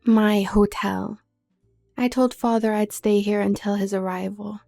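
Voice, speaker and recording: Woman acting as snobbish werewolf sister, manipulative, patronizing, dark soft tone, vocal fry, audio roleplay. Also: medium pitch, steady tone, a little slow, faint music behind. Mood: cold, taunting. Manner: condescending, smooth, needling. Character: haughty, manipulative younger werewolf sister of noble family. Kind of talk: scripted audio roleplay drama.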